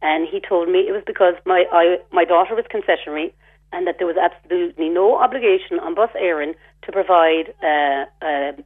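A woman's voice: on the low side, brisk. An Irish woman calling in to a radio show, describing her complaint in detail and with a sense of grievance. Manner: aggrieved, detailed, retells her exchanges. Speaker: caller. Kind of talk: phone-in radio call. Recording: phone line.

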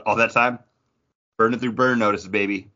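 A man's voice: fairly low pitch, fairly even intonation, moderate pace, pushed and bright, muffled recording. A man co-hosting a podcast, chatting casually about geek culture in a joking, teasing way. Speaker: podcast co-host.

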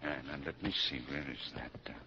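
An older man playing a kindly, amused college dean in a 1940s radio drama, with avuncular pauses. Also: rumbling low, pushed and bright, a little hiss or hum, heavily acted. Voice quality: gravelly, husky. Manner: avuncular, chuckling, hesitant pauses. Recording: dull lo-fi 1940s radio broadcast.

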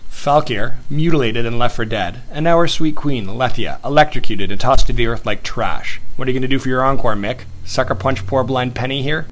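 A man's voice: medium pitch, a little fast, hardly any pauses, fairly bright tone, pitched above the performer's own voice, close to an everyday voice. A man voicing a smug, scheming male villain who mocks and menaces in a theatrical sneer. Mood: angry.

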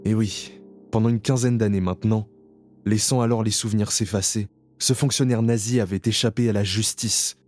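A man playing a grown survivor who narrates his memories in a slow, reflective, somber way. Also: fairly low pitch, fairly even intonation, a little mellow, no room sound, faint music behind, lightly acted. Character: grown male camp survivor recalling his past. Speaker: first-person narrator. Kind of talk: scripted radio drama narration.

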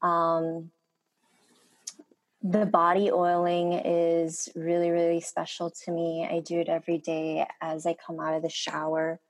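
A woman whose first language is Korean, a skincare maker speaking as a guest in an interview, soft-spoken and hesitant, with many pauses. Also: lowish voice, monotone. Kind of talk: reflective interview talk.

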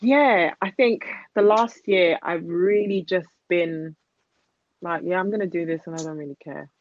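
A woman's voice: fairly low pitch, unhurried, no room sound, dull audio. Candid woman in her thirties, chatting. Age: 30s. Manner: candid, rambling, with frequent fillers and laughs. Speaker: podcast guest. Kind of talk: casual chat.

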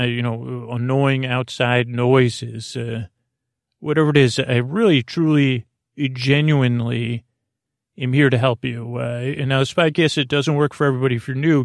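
A man with a creaky voice hosting a sleep podcast, talking in a soothing, meandering monologue that halts and drifts into tangents. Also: medium pitch, no room sound, studio quality. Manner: meandering, halting, trailing into tangents; soothing, gently lulling. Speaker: podcast host.

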